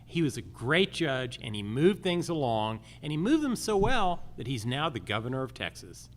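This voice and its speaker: A man of medium pitch argues his case as a judicial candidate at a live forum. His delivery is animated and emphatic, hammering points in lists, over faint background noise. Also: moderate pace. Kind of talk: campaign forum answers, public speaking.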